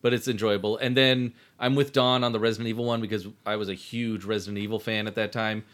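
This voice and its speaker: A man's voice: lowish voice, little pitch movement, fast talker, fairly bright tone. A man chatting casually and informally as a guest on a podcast. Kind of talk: casual chat.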